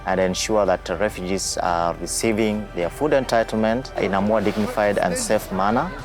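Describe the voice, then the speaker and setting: A man's voice: on the low side, normal speed, over music. A man working as an aid worker, speaking earnestly in an interview. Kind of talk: interview answers.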